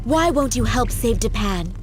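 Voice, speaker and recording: Casting: defiant female warrior spirit, fantasy game, fairly high voice, formal declamation over prominent music. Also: expressive, measured pace. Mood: defiant, pleading. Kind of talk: dramatic fantasy video game acting.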